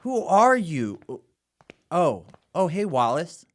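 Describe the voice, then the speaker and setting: Woman as an irritable young male suspect, slow. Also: lowish voice, very animated, faint music behind. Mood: wary, irritated. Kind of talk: audio drama acting.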